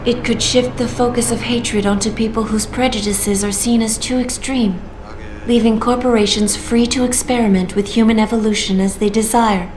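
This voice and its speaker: A woman voicing a calm, persuasive AI. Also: on the high side, moderate pace, background noise, lightly acted. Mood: calm persuasion. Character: calm female artificial intelligence, measured and persuasive. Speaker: AI guiding the player through a choice. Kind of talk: video game voice acting. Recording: roomy game audio with clear music.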